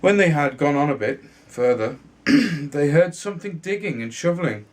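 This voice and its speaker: A man reading a children's fairy tale aloud in a halting way, sometimes stumbling and repeating words. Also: fairly high pitch, lively intonation. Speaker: storybook reader.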